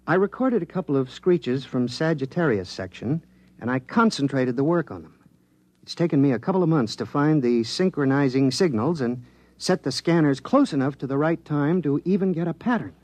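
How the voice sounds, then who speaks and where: A man playing an eager radio engineer, lively. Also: fairly high pitch, moderate pace, natural voice. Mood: explaining. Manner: earnest, explaining at length. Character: eager male radio engineer decoding star signals. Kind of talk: 1950s radio drama acting.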